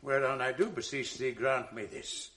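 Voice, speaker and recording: A man's voice: medium pitch, steady tone, normal speed, a bit bright, lightly acted. An actor playing a proud male general in a 1980s radio drama, stately and declamatory, tender toward his wife. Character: proud male general, commanding yet deeply in love.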